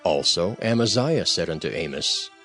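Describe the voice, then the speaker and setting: A man's voice: low voice, lively intonation, moderate pace, fairly bright tone. A man serving as narrator in a scripted scripture reading, announcing and linking the passages. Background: music.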